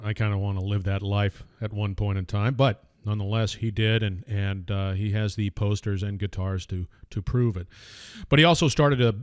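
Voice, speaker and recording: A man's voice: on the low side, smooth, no room sound. Male podcast host, big resonant voice, chatty and filler-heavy, dry booth sound. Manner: energetic, many fillers and restarts. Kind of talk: casual podcast intro and interview.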